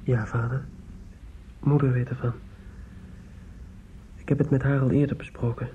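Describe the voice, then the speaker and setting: An actor playing an earnest, respectful young son in a radio drama, speaking hesitantly and deferentially. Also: male voice, medium pitch, flat delivery, normal speed, dark tone, lightly acted. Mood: nervous, earnest. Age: young adult. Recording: muffled, noisy old radio play recording.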